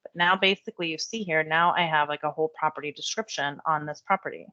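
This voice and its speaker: A woman giving a chatty step-by-step software tutorial while losing her voice. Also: sits low, fairly even intonation, quick pace, no room sound, muffled recording. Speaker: real estate software trainer. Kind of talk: screen-share software tutorial.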